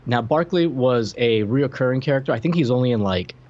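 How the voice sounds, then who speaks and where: A man's voice: mid-range voice, lively intonation, fairly quick, a little mellow, lo-fi sound. A man co-hosting a podcast, chatting casually about film and television with wry, joking asides. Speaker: podcast co-host.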